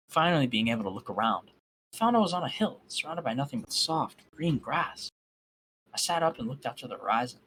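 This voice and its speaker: A man's voice: mid-range voice, a bit bright, slight background noise, rough recording. A man reading a fan fiction aloud in a sardonic, amused way. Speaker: reader.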